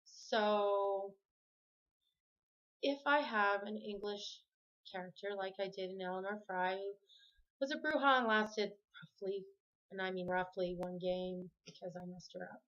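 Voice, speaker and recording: A chatty woman talks to camera from her home, wandering through her thoughts and stopping to fix her words mid-sentence. Her voice sits at an ordinary middle pitch. The sound is dull and lo-fi, like a basic home video. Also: steady tone, unhurried, fairly bright tone. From Canada. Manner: rambling, self-correcting, chatty. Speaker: vlogger. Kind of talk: casual talk to camera. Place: home room with the TV on.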